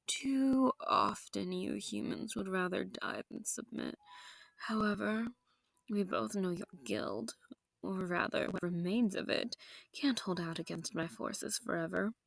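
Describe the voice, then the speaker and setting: A woman's voice: mid-range voice, a little slow, gravelly, no echo. A woman playing a seductive, sadistic vampire noble in an intimate audio roleplay, genteel and teasing with menace, often sinking into whispers. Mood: silky, commanding. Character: seductive, sadistic, possessive female vampire noble hosting her foe.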